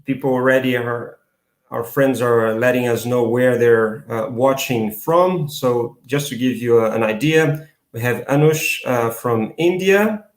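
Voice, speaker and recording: A man's voice: mid-range voice, unhurried, roomy sound. A Brazilian man hosting a live online interview, hesitant, with many fillers and restarts. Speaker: host.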